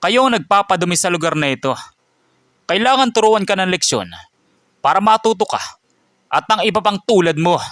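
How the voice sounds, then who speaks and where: A man's voice: high voice, lively intonation, moderate pace, long pauses, a bit bright, no room sound, studio quality, voice pushed up. A man voicing an arrogant, bullying village watchman who taunts an old man. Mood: sneering. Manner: sneering, taunting.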